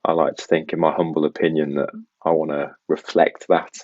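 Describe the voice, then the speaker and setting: A man's voice: a bass voice, little pitch movement, fairly quick, a little mellow, dry recording, lo-fi sound. A young man who grew up in Belgium, with an international English accent carrying faint West Midlands traces, chatting casually and self-deprecatingly as a podcast guest.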